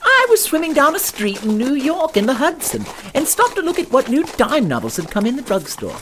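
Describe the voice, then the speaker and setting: A man's voice: very high voice, huge pitch swings, fairly quick, fairly bright tone, a little hiss or hum, exaggerated voice. A man playing a naive, well-spoken dandy fish from New York in a comic western audio drama, with wordy, formal diction. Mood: eager, earnest.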